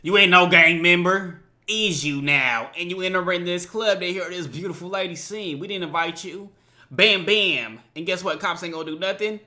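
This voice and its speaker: Very bright, forceful man commenting casually. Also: high voice, normal speed. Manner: slangy, informal. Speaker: reaction video host.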